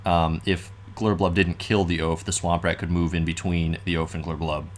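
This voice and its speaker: A young man, slightly hoarse, casually thinking aloud and explaining board game tactics with many fillers. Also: deep voice, flat delivery, normal speed, a little hiss or hum. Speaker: board game show co-host.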